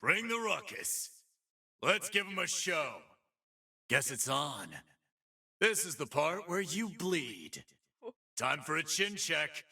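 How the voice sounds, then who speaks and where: An actor playing a brash, swaggering video game brawler who adores his mother, gruff and raspy, taunting in tough-guy slang. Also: a little high, sing-song, slow pace, pauses often, bright, forceful tone, distant mic, full character voice. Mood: combative. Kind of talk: punchy fighting game voice lines.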